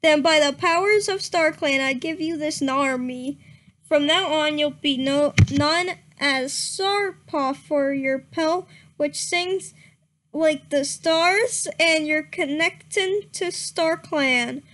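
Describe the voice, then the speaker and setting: Young woman streamer, high pitched and unhurried, doing a giggly mock-dramatic reading that trips over words. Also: a bit bright.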